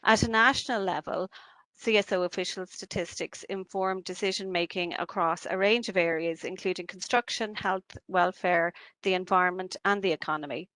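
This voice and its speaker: A woman, a statistician giving a measured, formal slide presentation on a video call. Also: lowish voice, steady tone, a bit bright, no room sound. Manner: measured and formal, with occasional fillers.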